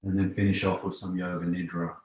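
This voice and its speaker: Male yoga teacher, deep voice, calm guided-relaxation delivery with long pauses, recorded in a very reverberant room. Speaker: yoga instructor. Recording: very echoey room.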